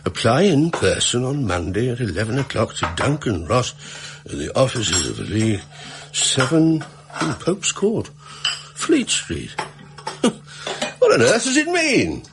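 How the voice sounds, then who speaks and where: An actor playing a fussy, gullible middle-aged pawnbroker in a radio drama, rambling and deferential. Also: male voice, medium pitch, huge pitch swings, measured pace, noisy background. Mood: puzzled reading. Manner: rambling, hesitant, chuckling, deferential. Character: fussy, garrulous, gullible widowed London pawnbroker. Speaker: client telling his story. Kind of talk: British radio drama acting.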